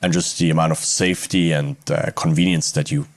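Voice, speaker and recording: A man's voice: extremely low pitch, flat delivery, brisk, vocal fry, no echo, studio quality. A man from Switzerland, speaking reflectively as an interview guest.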